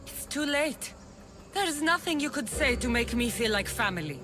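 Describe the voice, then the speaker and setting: A woman's voice: on the high side, animated, normal speed, lightly acted. A woman playing a fierce, bitter young warrior in a video game, with a taunting, cutting delivery. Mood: bitter, scornful. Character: fierce young female cult-raised warrior, bitter, menacing, contemptuous. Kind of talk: cinematic video game acting. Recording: music under the voice.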